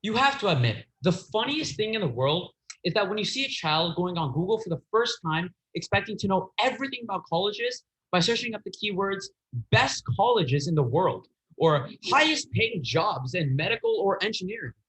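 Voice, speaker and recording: Teen boy doing a speech contest, kinda high voice, earnest, stumbles a bit. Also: big pitch swings, normal speed, fairly bright tone, rough recording. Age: teens. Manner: earnest, a little hesitant, occasional fillers and restarts.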